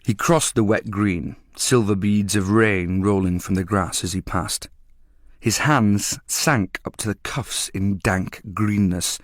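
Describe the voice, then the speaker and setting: A low-voiced man reads a story aloud, steady and measured. The recording is dry and close. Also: dry recording. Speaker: narrator. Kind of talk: scripted story reading.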